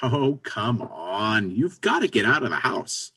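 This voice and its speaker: A man's voice: medium pitch, sing-song, unhurried, pushed and bright, slightly rough, full character voice. A man playing a pragmatic, impatient but encouraging businessman friend in a stage comedy reading, with emphatic delivery. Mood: coaxing, upbeat. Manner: pushy, exasperated, emphatic. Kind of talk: stage comedy readers theater.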